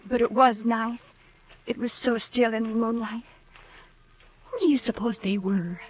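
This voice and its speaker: A nervous, clinging young woman in a vintage radio chiller. Her voice is a little husky, with an anxious, pleading edge. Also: a little high, steady tone, measured pace, rough recording. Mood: uneasy. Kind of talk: old-time radio horror drama acting. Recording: vintage radio drama recording.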